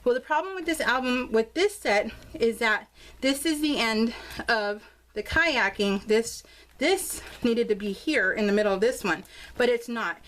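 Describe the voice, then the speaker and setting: A woman's voice: on the high side, animated, measured pace, a bit bright. An American woman chatting casually and informally in a bubbly, gushing way as she shows her craft project. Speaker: craft vlogger showing her scrapbook album. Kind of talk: casual chatty narration of a craft show-and-tell.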